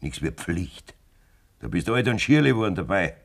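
Bavarian farmer, radio drama: coarse, callous husband, low gravelly voice, scornful delivery. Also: male voice, big pitch swings, moderate pace, full character voice. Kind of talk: German radio play acting in dialect.

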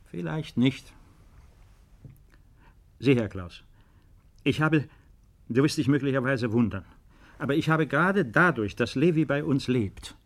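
A man's voice: mid-range voice, animated. A steadfast, earnest middle-aged father. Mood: measured, explaining. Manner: measured, earnest, deliberate phrasing. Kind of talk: 1950s German radio drama acting.

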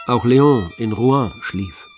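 Mid-pitched man narrating over faint strings. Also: takes it slowly, a little mellow. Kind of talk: scripted literary narration for radio play. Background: faint string music.

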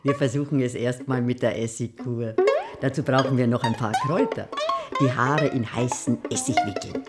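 An actress playing a brisk, bossy, matter-of-fact woman in a radio play, over plucked string music. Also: extremely low pitch, sing-song. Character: brisk, bossy woman tending a child with lice.

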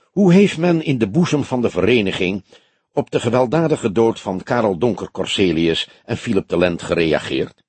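A man voicing a calm, courteous old police detective in an audiobook, questioning formally and probingly. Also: fairly low pitch, normal speed, breathy edge, no room sound. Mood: calm, amused. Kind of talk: audiobook character dialogue.

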